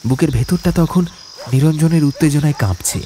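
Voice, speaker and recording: A man's voice: a little high, brisk, slight background noise. A man narrating a scripted ghost story as an audiobook, in a suspenseful, dramatic manner. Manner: suspenseful, expressive.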